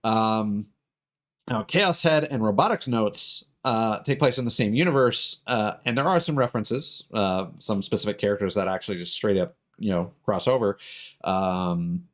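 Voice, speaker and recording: A man giving casual let's-play commentary as a streamer, talking in a rambling, halting way. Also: on the low side. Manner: rambling, hesitant, halting delivery; casual swearing. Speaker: game streamer, host. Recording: game music behind the voice. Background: video game music.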